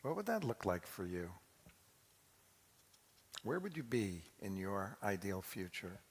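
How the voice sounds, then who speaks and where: A man giving an informal sermon, conversational and reflective, posing questions to his listeners in a husky voice. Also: on the low side, big pitch swings, measured pace. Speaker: congregation leader commenting on the reading. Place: large reverberant temple hall. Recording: long hall reverb.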